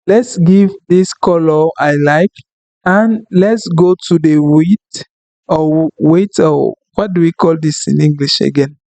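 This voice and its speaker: A man whose first language is French, talking through a screen-recorded software tutorial in an unhurried, apologetic way, thinking aloud with fillers. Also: on the high side, no echo. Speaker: tutorial instructor.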